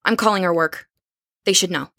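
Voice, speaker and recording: A woman's voice: lowish voice, brisk, bright, forceful tone, no room sound, clean studio sound. A woman playing a worried, determined young roommate in an audio drama, direct and insistent. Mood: anxious. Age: young adult.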